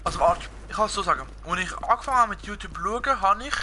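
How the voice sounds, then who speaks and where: A man's voice: high-pitched, expressive, quick pace, background noise. A young man with a Swiss German accent, chatting casually with viewers while he streams a video game, rambling with many fillers. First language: Swiss German and Italian. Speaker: gaming streamer. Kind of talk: casual live-stream chat while gaming.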